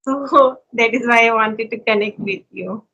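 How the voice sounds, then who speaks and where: A woman in her twenties from India with an Indian accent, chatting in a friendly, earnest way and pausing now and then to find her words. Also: a little high, sharp tone, husky, muffled recording. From India (Uttarakhand). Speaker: YouTuber and conversation partner. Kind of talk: casual conversation practice.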